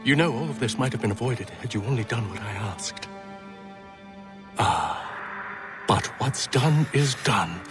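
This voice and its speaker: A man's voice: medium pitch, lively intonation, a little slow, pauses often, over music, natural voice. Haughty villain played by a man, sweeping intonation. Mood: gloating. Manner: eloquent, disdainful, theatrical delivery. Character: haughty, menacing older male villain, cultured schemer. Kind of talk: dramatic video game acting.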